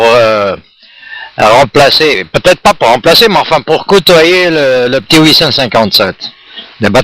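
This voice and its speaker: A man talks in a friendly, chatty way, like a radio hobbyist in a relaxed contact. His voice sits at a medium pitch, and the sound is dry with very little room reflection. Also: no echo. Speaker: amateur radio operator. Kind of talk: casual radio contact chat.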